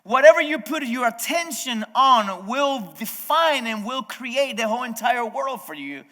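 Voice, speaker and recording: A man's voice: extremely high pitch, expressive, measured pace, bright, forceful tone. A male pastor whose first language is Spanish, preaching a sermon in a conversational way, speaking directly to the congregation and often restarting his sentences. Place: church service. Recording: echoey hall.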